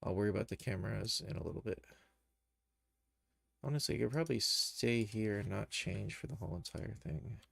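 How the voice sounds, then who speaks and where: A man with a fairly low, creaky voice walks through software in a casual live tutorial. He talks to himself as he works, hesitating along the way, and the sound is close and dry. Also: fairly quick, no echo. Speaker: streamer, animation tutor.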